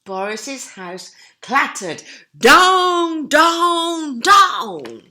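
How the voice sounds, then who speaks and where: A woman's voice: high voice, sing-song, drawn-out words, pushed and bright, slight background noise. A woman storyteller reading expressively to young children, with chatty questions and asides to them. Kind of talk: animated storybook narration with asides to listeners.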